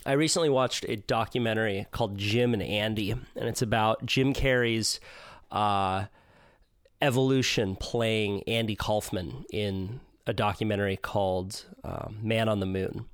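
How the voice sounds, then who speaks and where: A man hosting a podcast interview in a casual, thoughtful way, his voice hoarse and partly lost. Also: fairly low pitch, steady tone, unhurried, no echo, clean studio sound. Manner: thoughtful, with frequent fillers and restarts. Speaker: podcast host.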